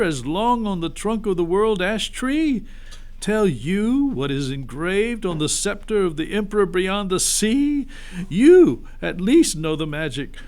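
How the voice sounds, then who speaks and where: A man voicing an imperious, menacing witch queen in a storybook, shrill and declamatory with grand emphasis. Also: a little mellow.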